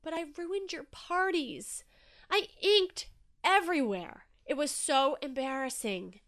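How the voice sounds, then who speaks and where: A woman's voice: high voice, huge pitch swings, very slow, pitched above the performer's own voice, heavily acted. A woman voicing an anxious, sensitive young male octopus in a children's story, speaking nervously and apprehensively. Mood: nervous, hesitant.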